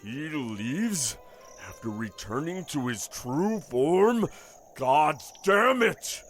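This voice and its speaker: A man acting a proud, resolute warrior leader in a fantasy audio drama, formal and forceful. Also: on the high side, sing-song, drawn-out words, soft background music, exaggerated voice. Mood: angry, resolute.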